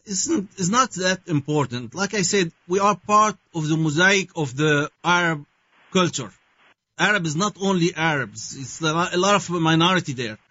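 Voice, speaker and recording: A man from Syria with a Syrian Arabic accent, answering interview questions haltingly and searching for his words with many fillers. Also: on the high side, sharp tone, dry recording. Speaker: guest. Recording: dull lo-fi sound.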